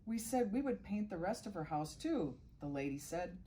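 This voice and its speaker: A woman's voice: on the low side, very animated, moderate pace, mellow, slightly rough. A middle-aged woman reading a children's storybook aloud as a guest reader, now and then stumbling and correcting herself.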